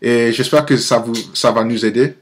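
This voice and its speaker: A man in his twenties with an American accent, a native English speaker born in Nigeria, talking casually to camera as an amateur vlogger, haltingly searching for words and correcting himself. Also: mid-range voice, fairly even intonation. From Nigeria-born, grew up in the United States. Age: 20s. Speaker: amateur video blogger.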